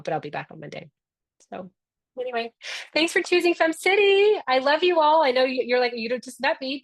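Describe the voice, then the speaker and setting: A woman's voice: medium pitch, no room sound. A middle-aged woman whose first language is Spanish talks members through a live orientation over a video call. She is chatty, upbeat and affectionate, in a mid-range voice. The sound is dry and close. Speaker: founder hosting a member orientation. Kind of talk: live online orientation talk.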